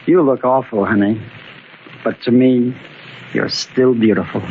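A man's voice: medium pitch, fairly even intonation, a little slow, close to an everyday voice. A streetwise working-class man played by a male radio actor talks in clipped slang, bitter about his lot yet tender with his sweetheart. The style is mid-century radio drama, heard on a muffled old recording with faint noise. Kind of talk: 1940s-50s radio drama acting.

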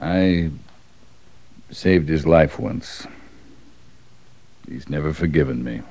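An actor playing a hard, bitter middle-aged cavalry officer in a radio Western, with a clipped, restrained way of talking. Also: male voice, a bass voice, slow, mellow, heavily acted. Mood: bitter, brooding. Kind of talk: old-time Western radio drama acting. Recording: dull, band-limited old radio recording.

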